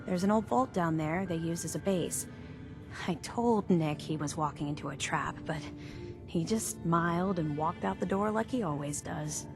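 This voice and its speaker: A woman plays a devoted detective's secretary in a video game. Her voice sits fairly low, earnest and anxious yet wry in its phrasing. Music is clearly heard behind her. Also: moderate pace, natural voice. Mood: worried, explaining. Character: worried loyal female assistant of a missing detective.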